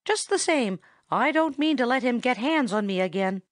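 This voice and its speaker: A woman's voice: on the high side, animated, normal speed, no echo, clean studio sound, voice pushed up, close to an everyday voice. A woman voicing a wary, doubtful male red squirrel who scolds from habit, in a storybook reading.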